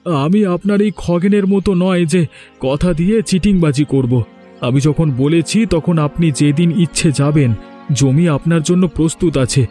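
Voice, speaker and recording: Man voicing bold villager, soft dark tone. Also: fairly high pitch, unhurried, faint music behind, close to an everyday voice. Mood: firm. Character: bold, principled young village man, Gopal, defiant and emotional. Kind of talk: Bengali cartoon drama dialogue.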